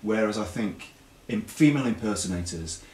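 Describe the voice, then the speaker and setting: A man's voice: lowish voice, lively intonation, normal speed, darkish tone. A British man talking casually as a chat show guest, explaining things in a chatty way and often restarting his phrases. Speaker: interview guest, pantomime writer and performer. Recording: echoey room, distant mic, faint background noise.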